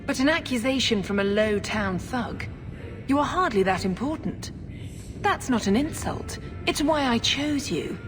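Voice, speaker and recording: A woman playing a haughty, scheming religious sister in a video game, with a clipped, condescending, measured delivery. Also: a little high, expressive, music in the background. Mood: dismissive. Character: haughty, scheming Chantry sister, coolly calculating. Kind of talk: video game cutscene acting. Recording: long hall reverb, clear background noise.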